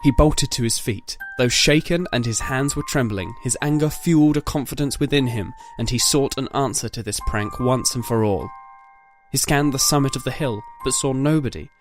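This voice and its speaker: A man's voice: medium pitch, normal speed, soft background music. A man narrating a scripted dramatic story.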